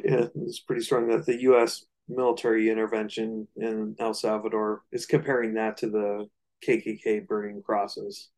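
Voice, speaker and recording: Low-pitched man in casual music discussion. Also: little pitch movement, moderate pace, darkish tone, rough recording. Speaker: music discussion podcast co-host.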